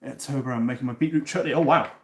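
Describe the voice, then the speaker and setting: A man in his thirties from North Wales talks loosely to his viewers as he cooks, at an ordinary middle pitch. He keeps stumbling into repeated words and restarts, with the odd casual swear. His voice bounces around an echoey home kitchen. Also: racing. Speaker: cooking stream host. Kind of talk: casual live-stream chat, answering viewers.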